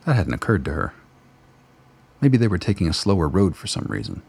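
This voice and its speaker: A man reading the narration of a radio play in a measured, wry storytelling manner. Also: deep voice, big pitch swings, creaky, a little mellow, husky. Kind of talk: scripted narration.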